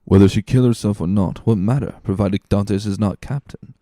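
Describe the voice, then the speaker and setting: A man voicing a cunning, smooth-talking, scornful schemer with a measured, condescending manner in a dramatic reading. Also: fairly low pitch, dark tone, dry recording, studio quality, lightly acted.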